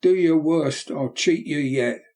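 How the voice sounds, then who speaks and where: A violent, desperate murderer snarls orders and threats, voiced by a man reading aloud. The voice is dark and gravelly, with a menacing edge. It is a storybook reading with a fierce manner. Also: fairly high pitch, unhurried. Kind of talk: audiobook character voice.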